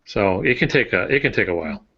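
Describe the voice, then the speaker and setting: An older man talks in a somewhat low voice. He tells anecdotes casually, with a self-deprecating, plain-spoken manner. The sound is slightly muffled. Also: expressive, quick pace, pushed and bright, slightly rough. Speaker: author on a podcast panel. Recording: dull, muffled lo-fi audio.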